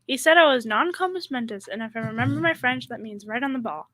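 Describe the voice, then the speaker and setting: A woman's voice: on the high side, expressive, fast, sharp tone, slight background noise. A young female middle school student playing a naive, dim, sweet secretary in an old-time radio comedy, with an earnest, guileless manner. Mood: earnest, clueless.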